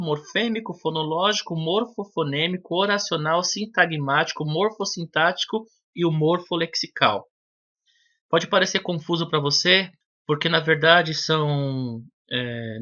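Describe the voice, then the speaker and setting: Man, teacher, didactic explanation, bright tone, dull low-fi recording. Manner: methodical, didactic, addresses the viewer directly. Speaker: English teacher. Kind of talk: explanatory lesson to camera. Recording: dull lo-fi video audio.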